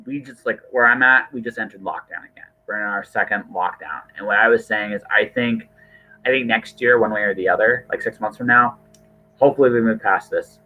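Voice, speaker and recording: A low-voiced man hosts a casual podcast over a thin, phone-like connection. He talks in loose, conversational runs, doubling back to repeat and reword his thoughts. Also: fairly quick, pushed and bright. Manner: hesitant, repeats and rephrases himself, hedging. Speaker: podcast host. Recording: thin, band-limited phone-like call audio.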